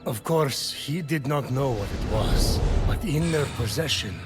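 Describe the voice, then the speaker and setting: A man playing a wry, defiant Titan storyteller in a video game, narrating myth in a measured, eloquent, theatrical way. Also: medium pitch, animated, natural voice. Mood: dramatic storytelling. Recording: loud music under voice. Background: loud game score.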